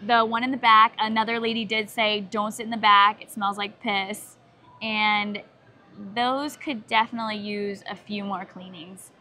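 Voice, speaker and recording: A woman's voice: medium pitch, steady tone, normal speed, bright, forceful tone, a little hiss or hum. A woman in her thirties co-hosting a travel vlog, reading airline reviews aloud and joking, recorded on a lapel mic in a big airport terminal. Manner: playful, laughs often. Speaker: travel vlogger co-host. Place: large airport terminal, indoors. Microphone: clip-on lapel mic.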